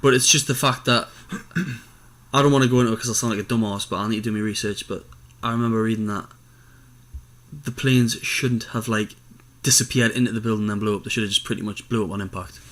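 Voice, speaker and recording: A man's voice: fairly low pitch, fairly even intonation, quick pace, fairly bright tone. A man chatting informally as co-host of a podcast. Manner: informal, conversational. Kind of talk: casual chat.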